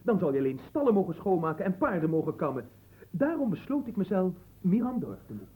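A man's voice: high voice, very animated, quick pace, darkish tone. An actor playing a poor, ambitious and cheeky young man in a radio play, speaking politely and earnestly. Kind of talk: theatrical radio play acting.

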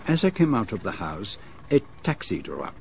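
A man playing a fussy detective who narrates his own story in a radio drama, with a measured, wryly dramatic manner. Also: on the low side, big pitch swings, gravelly, a little hiss or hum. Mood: relieved, wry.

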